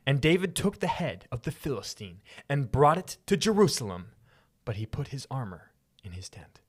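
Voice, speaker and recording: A man co-hosting a podcast, speaking in an expressive, informal way with a theatrical streak. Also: mid-range voice, moderate pace, breathy edge. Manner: slangy, expressive, theatrical when reading. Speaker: podcast co-host. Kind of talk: casual podcast chat with animated Bible reading.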